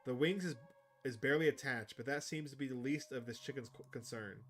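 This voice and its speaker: A man's voice: mid-range voice, a little fast, fairly bright tone. A man hosting a gaming video, reading visual novel narration aloud and adding casual commentary. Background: music.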